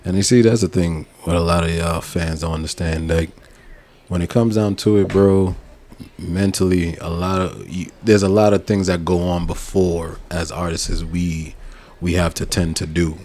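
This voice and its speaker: A man chatting on a podcast as an artist, slangy and quick to agree with the others. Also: low-pitched, steady tone, a little mellow, slight background noise. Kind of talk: casual podcast chat.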